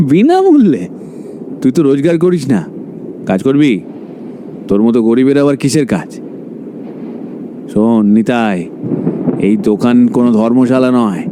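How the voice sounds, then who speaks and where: A man's voice: medium pitch, big pitch swings, moderate pace, long pauses, background noise, lightly acted. A man voicing a greedy, heartless middle-aged shopkeeper in a cartoon story, speaking harshly and dismissively. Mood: scornful.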